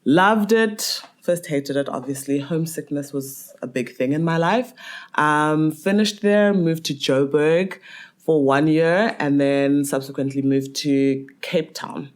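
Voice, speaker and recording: A woman's voice: low voice, measured pace. A woman in her twenties, raised in Botswana, speaking as a podcast guest in a reflective, candid way with casual fillers. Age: 20s.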